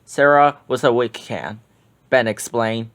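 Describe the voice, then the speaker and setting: Man, children's book read-aloud, expressive, lively, medium pitch. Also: measured pace.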